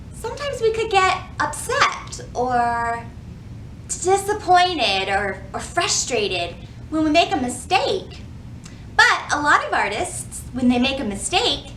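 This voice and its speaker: A woman's voice: sits high, sharp tone, slight background noise, low-quality recording. A woman presenting a children's storytime, explaining things simply, asking young listeners questions and reading aloud.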